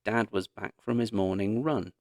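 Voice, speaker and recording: A man narrating a children's story aloud in an expressive, dramatic storytelling manner. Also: lowish voice, moderate pace, no room sound, clean studio sound. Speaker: audiobook narrator.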